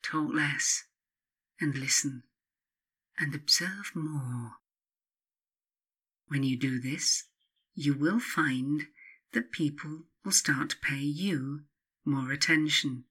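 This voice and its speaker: A woman reading her own self-help book as scripted narration, in a measured, instructive manner with emphatic pauses. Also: deep voice, a bit bright, slightly rough.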